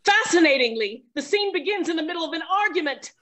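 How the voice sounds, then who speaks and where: A woman's voice: very high-pitched, animated, fast talker, a bit bright, slight background noise. A woman playing a vain, self-aware actress who narrates her own scene in an arch, wry, theatrical parody style. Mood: arch, dismissive. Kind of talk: parody stage acting.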